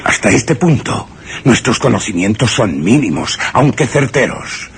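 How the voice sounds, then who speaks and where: An actor with a Castilian Spanish accent playing a deranged, arrogant scientist in a suspense radio play, speaking gravelly and theatrically with dramatic pauses. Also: male voice, on the low side, very animated, a little hiss or hum, exaggerated voice. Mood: fervent lecturing. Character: deranged, arrogant scientist threatening his friend at gunpoint.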